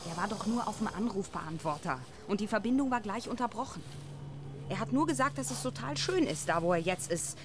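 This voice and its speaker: A woman's voice: mid-range voice, lively intonation, brisk, lightly acted. Actress as an anxious young woman, noisy ambience. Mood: doubtful. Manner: hesitant, protesting, colloquial. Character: anxious, reluctant young woman in night woods. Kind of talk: naturalistic radio drama acting.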